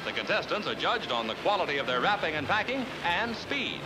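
American male 1940s newsreel announcer, high and animated, jaunty theatrical delivery, vintage soundtrack. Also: fairly quick, rough recording. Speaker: newsreel narrator. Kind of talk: 1940s newsreel commentary, scripted narration. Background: loud orchestral newsreel music.

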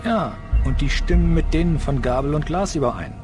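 Chatty male police lab tech acted by a man, mid-pitched with lively inflection, music underneath; radio play. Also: a little fast, lightly acted. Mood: intrigued. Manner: wry, colloquial. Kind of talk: audio drama acting of a video game story.

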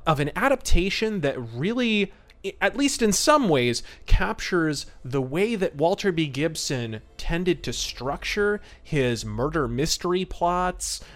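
A man's voice: on the high side, a bit bright. A man hosting a podcast, giving commentary in an emphatic, theatrical manner with florid wording. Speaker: podcast host. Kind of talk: podcast commentary, semi-scripted talk.

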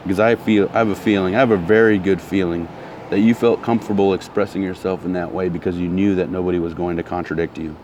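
A low-pitched man rants bluntly and heatedly, hammering his points through repetition. His throat is still recovering from a sore spell. Faint traffic hums behind him outdoors. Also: fairly even intonation, fast talker, a little mellow. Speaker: vlogger. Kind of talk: casual ranting monologue.

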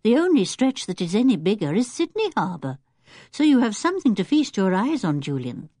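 Older British lady for a children's storybook, played by a woman: genteel, kindly, a fretful chatterer with lively intonation. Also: mid-range voice, fairly quick, dry recording, clean studio sound, voice pushed up. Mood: chatty. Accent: British English. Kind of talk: children's audiobook character acting.

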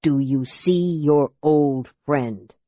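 A man voicing a proud, quietly intense young wizard in an audiobook, speaking in a measured, formally polite way. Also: fairly high pitch, pitched below the performer's own voice. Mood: solemn, intense. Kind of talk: audiobook character voice. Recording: roomy echo, slightly dull sound.

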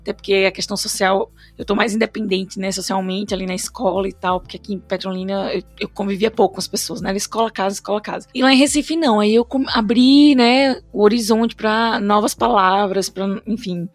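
A woman's voice: mid-range voice, a little fast, hardly any pauses, no room sound, soft background music. A young Brazilian woman with a northeastern accent tinged by São Paulo speech, chatting casually and rambling with many restarts and "né" tags. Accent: Brazilian Northeastern mixed with São Paulo. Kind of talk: casual conversation.

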